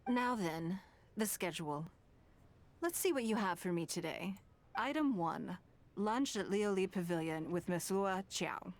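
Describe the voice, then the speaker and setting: A woman's voice: mid-range voice, expressive, measured pace, close to an everyday voice. An actress playing a poised, self-assured wealthy businesswoman in a video game, courteous and shrewd, with composed, formal diction. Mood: calm, courteous. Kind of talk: scripted video game voice acting.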